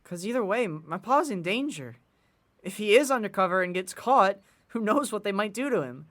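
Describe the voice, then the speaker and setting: Man acting an earnest, lively old-time teenage boy. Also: sits high, moderate pace. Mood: worried. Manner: folksy old-time slang, hesitant. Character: earnest working-class teenage boy worried about his father. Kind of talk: nostalgic period audio drama acting.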